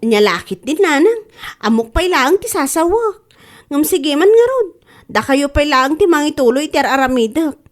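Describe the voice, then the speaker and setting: High-pitched hardworking young woman played by an actress. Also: sing-song, normal speed. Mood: weary, insistent. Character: hardworking young mother, dutiful, worn-out working daughter. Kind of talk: radio comedy-drama acting.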